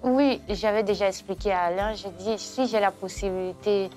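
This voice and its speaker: A young woman from Ivory Coast, a social worker, answering interview questions in a measured way with frequent pauses. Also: medium pitch, steady tone. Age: young adult. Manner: measured, hesitant with fillers. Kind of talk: casual interview. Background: soft music.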